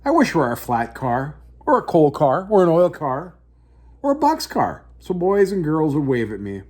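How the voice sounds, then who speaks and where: A man's voice: fairly high pitch, huge pitch swings, normal speed, darkish tone. A man reading a storybook as a small, glum, self-pitying but plucky train caboose, wistful and sighing.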